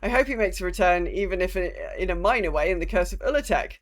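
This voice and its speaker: A woman's voice: on the low side, lively intonation, fast. A woman co-hosting a podcast, chatting casually and reading out listener messages. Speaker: podcast co-host. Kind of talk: casual chat.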